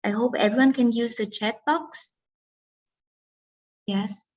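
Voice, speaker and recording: A woman's voice: on the high side, slow, a little mellow, low-quality recording. A woman from Vietnam presenting an online workshop as facilitator over a video call, hesitant with many ums and uhs.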